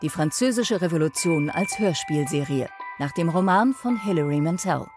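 A woman's voice: on the low side, animated, normal speed, over music, natural voice. A woman announcing a radio drama trailer in a dramatic, scripted style. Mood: solemn, dramatic. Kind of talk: dramatic scripted promo narration.

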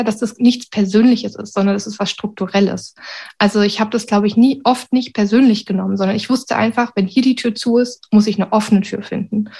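A middle-aged woman from Berlin talking candidly and reflectively about personal experience in an interview. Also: medium pitch. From Berlin, Germany. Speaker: interviewee, university secretary with a doctorate.

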